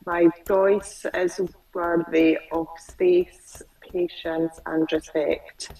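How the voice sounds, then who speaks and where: A woman client who stutters, slowly repeating phrases after her coach over a video call. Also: on the low side, level tone, mellow, distant mic, low-quality recording.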